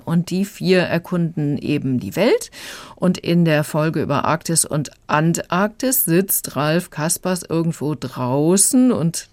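A woman with a low voice explains things as a radio reviewer in conversation. She is measured, and the sound is close and dry. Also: a little mellow, no echo. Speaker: audiobook critic.

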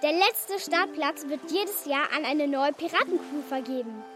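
An actress playing an eager, bossy young girl captain in a children's radio play, excitable and impatient. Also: high-pitched, moderate pace, music in the background. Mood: explaining, impatient.

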